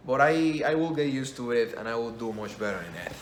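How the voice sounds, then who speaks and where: A man's voice: medium pitch, lively intonation, brisk, a little hiss or hum. A man talking in English, which is not his first language, giving a casual spoken review with many restarts and self-corrections. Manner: rambling, self-correcting, enthusiastic. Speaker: game commentator. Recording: echoey room, faint ambience.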